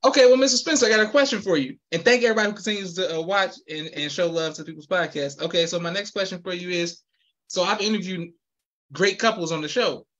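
A man's voice: sits high, fast talker, fairly bright tone, dull audio. A young man hosting a podcast interview over a video call, upbeat and affirming, with formal thank-yous. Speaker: podcast host. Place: indoor room with posters. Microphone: video call, webcam.

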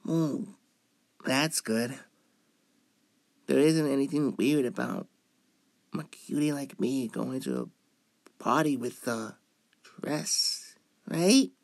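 A man's voice: medium pitch, lively intonation, slow, vocal fry, pauses often, darkish tone, dry recording. A young man playing a cute, shy, playful femboy in an ASMR roleplay, coy and hesitant, drawing out his words. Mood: soft, pleased.

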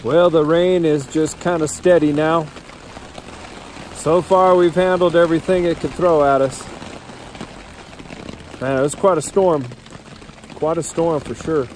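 A man's voice: a little high, measured pace, long pauses, a little hiss or hum, poor audio. A middle-aged man vlogging outdoors at a forest camp by a mountain lake, talking easygoing into a phone held at arm's length. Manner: easygoing, growing excited in the storm. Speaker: solo backpacking vlogger. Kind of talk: outdoor vlog to camera.

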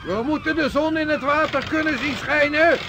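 Hearty, high-pitched sea captain. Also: male voice, moderate pace. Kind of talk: Dutch radio drama acting. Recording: noisy outdoor ship-deck ambience.

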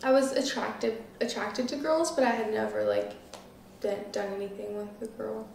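A woman's voice: on the high side, animated, moderate pace, vocal fry, a little mellow. A nineteen-year-old woman chatting casually as an interview guest, peppering her talk with filler words. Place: small living room, seated on a couch. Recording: roomy, distant mic, faint noise.

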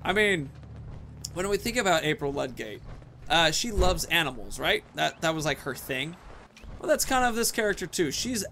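A young man gives casual, chatty gameplay commentary. His voice is fairly high and swings widely in pitch, with faint game noise behind it. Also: animated, normal speed, bright, forceful tone. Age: young adult. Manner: chatty, jokey, rambling. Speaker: gaming video host. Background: game ambience, faint noise.

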